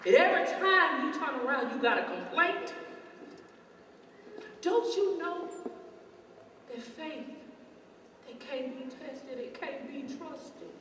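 Lady acting as a bossy old church mama, stern and preachy, highish voice, echoey noisy theater. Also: lively intonation, slow, long pauses, fairly bright tone, full character voice. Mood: impassioned preaching. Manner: admonishing, preachy, commanding. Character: stern, devout old mother-in-law scolding her son-in-law. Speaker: stage actor. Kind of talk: stage play acting. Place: indoor stage set in a theater. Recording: echoey theater, distant mic, noisy background.

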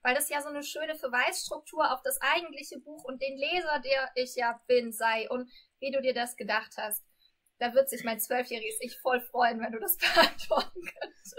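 A young woman speaks in a high voice with a breathy, rough grain. She co-hosts a casual interview over a video call, in an informal, conversational way. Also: normal speed, a bit bright. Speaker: interviewer, co-host. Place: room with bookshelves, on a video call.

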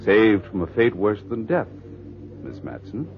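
An actor playing a suave, self-assured millionaire with formal, urbane speech, in 1940s radio drama style. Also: male voice, lowish voice, very animated, measured pace, slight background noise. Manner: smooth-talking, formal, urbane. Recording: muffled vintage 1940s radio recording.

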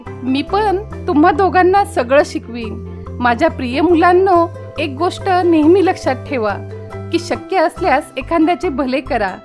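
A woman voicing a gentle, brave mother bird in a children's moral story cartoon, earnest and encouraging. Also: high voice.

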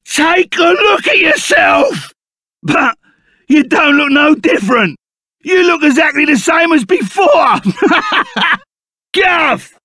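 A man voicing a big, gruff, good-hearted bandit sidekick in a video game, with a gravelly Cockney accent and a blunt, chummy way of talking. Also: very high-pitched, very animated, slow, long pauses, sharp tone, dry recording, shouting, studio quality, full character voice. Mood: agitated, loud.

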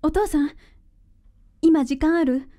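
A woman acting in a radio drama as a gentle, sincere young girlfriend. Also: sits high, takes it slowly. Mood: tentative. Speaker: voice actor.

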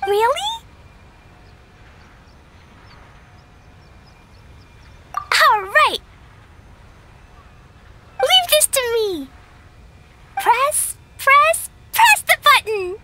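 A woman's voice: extremely high pitch, big pitch swings, very slow, pauses often, pushed and bright, slight background noise, exaggerated voice. A woman voicing an earnest, childlike little girl adventurer in a video game. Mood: hopeful. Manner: childlike, wide-eyed, earnest. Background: game music.

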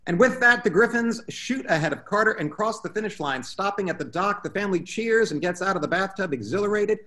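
A man's voice: high-pitched, animated, fairly quick, sharp tone. A man reading stage directions as scripted narration at a table read over a video call. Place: room at home, video call.

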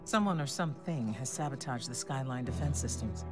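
An actress playing a composed female warlock scholar in a game cutscene, speaking in a measured, precise way. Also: a bass voice, animated. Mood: urgent. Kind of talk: video game cutscene acting. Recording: music under a long reverb.

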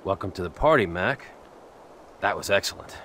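An actor playing a tough, terse biker hero in his thirties with an American accent, deadpan and threatening, in a video game. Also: low voice, moderate pace, slight background noise. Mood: dry, familiar. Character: tough brooding vengeful biker hero, curt and menacing. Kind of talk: video game cutscene acting.

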